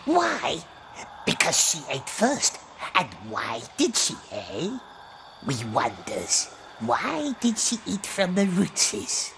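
A man voicing a small, sly, bitter wretched creature in a video game, with a raspy voice and hissing, broken grammar. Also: high-pitched, wildly swooping pitch, slow pace, full character voice. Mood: irritated, suspicious. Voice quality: raspy, creaky. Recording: music behind the voice.

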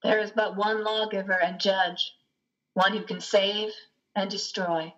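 A middle-aged woman as a play's solemn narrator, deliberately reading stage directions and scripture. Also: medium pitch, level tone, a bit bright, natural voice. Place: lamp-lit room at home. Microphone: computer mic on a video call. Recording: muffled video-call audio.

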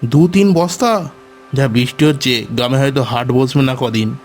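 A man's voice: mid-range voice, darkish tone, faint music behind, natural voice. A man voicing a stern, bossy village grain merchant in a cartoon. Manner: commanding, brisk orders.

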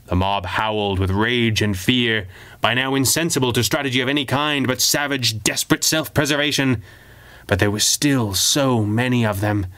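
A man's voice: mid-range voice, fairly bright tone. Male narrator of pulp adventure fiction. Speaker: audiobook narrator.

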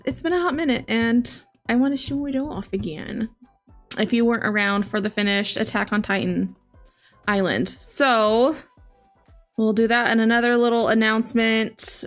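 Casting: female game streamer, late twenties, higher-pitched voice, informal and chatty, close mic, faint music behind. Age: late 20s. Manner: chatty, talking to viewers and reading chat aloud. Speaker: video game streamer, host. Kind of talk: casual livestream chat. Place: home living area, indoors. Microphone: large desk microphone up close, headset on.

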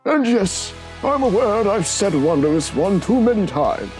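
A man acts an old storyteller from a storybook. He sounds whimsical and a little fussy, pitched high, with grand, lilting swings in intonation. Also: very animated, unhurried, darkish tone, soft background music. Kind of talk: theatrical storybook narration.

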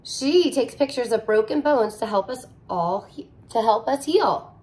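A woman teacher reads a children's picture book at an unhurried pace. Her pitch rises and falls a lot in a sing-song storybook style, with soft little asides between pages. She sounds close to the camera in a living room. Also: animated. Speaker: teacher reading aloud. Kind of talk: picture book read-aloud for young children. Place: living room with couch, indoors.

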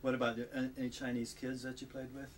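A man interviewing a guest for an oral history, asking halting questions with many fillers. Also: medium pitch, monotone, slight background noise. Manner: hesitant, prompting with short questions.

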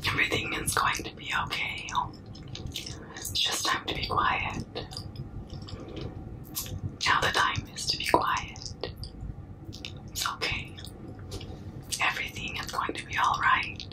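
Very slow, whispering young woman. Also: distant mic, poor audio. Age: young adult. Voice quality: breathy, unvoiced whisper. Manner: slow, hushing, soothing, repetitive. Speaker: ASMR performer. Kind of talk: ASMR roleplay, whispered comforting. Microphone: close mic, very near the camera.

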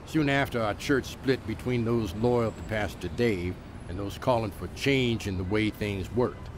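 A man's voice: fairly low pitch, unhurried, natural voice. Blunt male storyteller actor over street noise. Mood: grim, blunt. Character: blunt, wry man recounting a church betrayal. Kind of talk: audio drama storytelling. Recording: noisy outdoor urban traffic ambience.

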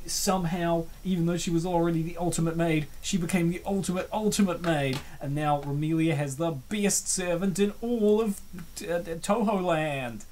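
A comic dub host giving casual, rambling commentary on a webcomic, with playful and excitable reactions. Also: mid-range voice, normal speed, a little hiss or hum.

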